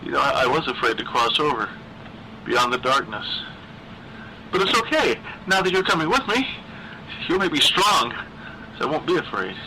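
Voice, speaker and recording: A man voicing a lonely, obsessive teenage boy ghost who pleads in a halting, plaintive, insistent way in a horror story. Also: medium pitch, very animated, pushed and bright, a little hiss or hum, voice pushed up, heavily acted, low-quality recording. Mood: pleading, eerie.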